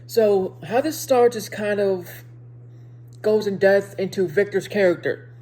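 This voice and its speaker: A woman with a speech impediment giving a casual video review to viewers, speaking haltingly and often repeating and rephrasing her words. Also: medium pitch, dark tone, husky.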